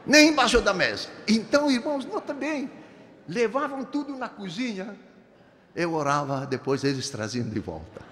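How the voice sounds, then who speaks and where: A man in his seventies preaching a sermon in a church. He is emphatic, swinging from low, soft phrases to high, ringing exclamations, and speaks slowly and deliberately. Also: very animated. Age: 70s. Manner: slow, deliberate delivery. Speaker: pastor. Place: church sanctuary. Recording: reverberant church hall.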